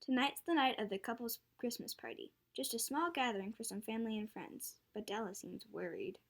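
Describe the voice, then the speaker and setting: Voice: medium pitch, a little fast, fairly bright tone. A child of about ten reading scripted narration as the storyteller of a school radio play. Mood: presenting. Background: faint music.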